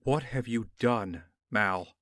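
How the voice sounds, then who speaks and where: A man's voice: medium pitch, slow pace, fairly bright tone, dry recording. A man playing a horrified business partner with a troubled conscience in an audio drama, indignant, pleading and emotional. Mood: accusing.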